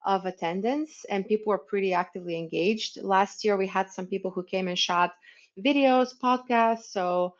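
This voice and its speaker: A woman's voice: medium pitch, moderate pace. A woman in her thirties chatting casually as a livestream guest, upbeat and promotional, over a video call. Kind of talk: casual chat. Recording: slightly dull lo-fi audio.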